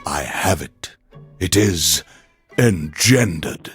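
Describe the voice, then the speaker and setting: A male alien overlord hosting video game radio with immense self-importance. He declaims like a Shakespearean stage villain, slowly and precisely. His pitch sweeps widely through each grand, cultured phrase. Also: animated, a bit bright, husky, soft background music, heavily acted. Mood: scheming, sinister. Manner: pompous, grandiose, deliberate enunciation. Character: pompous, theatrical alien overlord radio host, male, erudite and menacing. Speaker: radio DJ host. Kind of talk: theatrical Shakespearean recitation and radio presenting.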